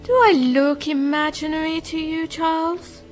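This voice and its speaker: A woman plays an ethereal, alluring woman of the moon in a radio drama. Her high voice is serene, gentle and coaxing, with music playing behind it. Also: fairly even intonation, a little slow. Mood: serene, mysterious.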